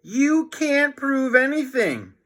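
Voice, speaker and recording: A man voicing an excitable, indignant schoolboy protesting in a children's storybook. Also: extremely high pitch, slow, voice pushed up, exaggerated voice.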